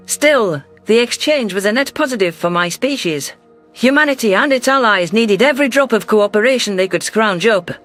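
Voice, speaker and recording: A male narrator reads a science fiction story in a steady, scripted storytelling voice. His pitch sits fairly high, with faint background music underneath. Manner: even storytelling delivery.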